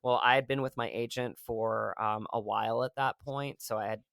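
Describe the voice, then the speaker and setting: A man's voice: mid-range voice, fairly even intonation, moderate pace, vocal fry, a bit bright, clear, resonant tone, no room sound. A man being interviewed about his acting work, talking earnestly and rambling with lots of fillers and restarts. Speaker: actor guest. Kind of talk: casual interview conversation.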